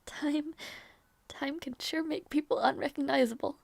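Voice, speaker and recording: Woman playing a high-voiced gentle young princess. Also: steady tone, gravelly, dry recording. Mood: fond, wistful. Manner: soft, hesitant, tender, halting delivery. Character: gentle young noble princess, earnest, bashful and affectionate. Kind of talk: romantic fantasy audio roleplay acting.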